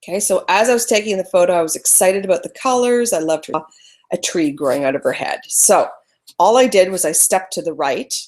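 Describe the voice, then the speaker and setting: A woman's voice: lowish voice, a little fast. Chatty woman presenting a live webinar. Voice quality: loud voice. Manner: chatty, apologetic, talks through screen-sharing trouble. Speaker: webinar presenter teaching photography. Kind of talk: casual live webinar teaching.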